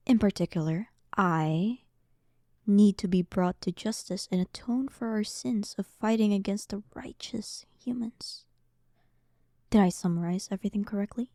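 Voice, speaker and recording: A woman voicing a dominant, sarcastic demon queen in a roleplay audio, soft and breathy, with a teasing, condescending manner. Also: mid-range voice, no room sound, studio quality. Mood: dryly sarcastic. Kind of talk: scripted roleplay audio, intimate one-sided dialogue.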